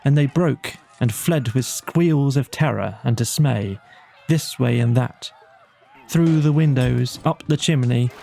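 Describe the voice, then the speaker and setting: A man narrating a bedtime storybook in a measured, dramatic storytelling manner. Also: medium pitch, vocal fry, dark tone, slight background noise. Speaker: audiobook narrator.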